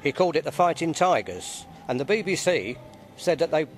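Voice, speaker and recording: A man in a casual interview at an outdoor event, telling history with halting repeats. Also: on the high side, sing-song. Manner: hesitant, repeats words. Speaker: interviewee, society member.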